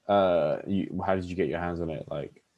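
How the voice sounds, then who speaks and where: A man's voice: sits low, fairly quick, lo-fi sound. A man hosting a casual chat, asking eager, probing questions.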